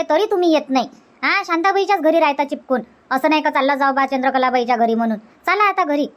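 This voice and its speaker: Give the part to a woman playing a middle-aged village housewife from a comedy cartoon. Her voice sits very high, with a rustic Varhadi Marathi accent. She is chatty, insistent and teasing, in homely colloquial speech. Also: fairly bright tone. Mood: insistent, hospitable. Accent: Varhadi Marathi from Vidarbha.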